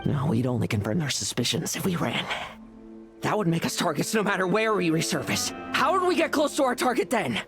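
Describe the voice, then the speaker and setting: A man's voice: sits high, sing-song, a little fast, over music. A man voicing a reckless, driven young schemer in a video game, with an intense, commanding manner. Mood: scornful resolve.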